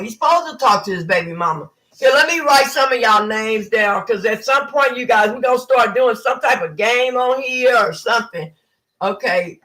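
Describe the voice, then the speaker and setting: A woman's voice: fairly high pitch, bright, forceful tone, low-quality recording. A woman, hosting a casual livestream and talking bluntly with mocking impressions. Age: 50s. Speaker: livestream host.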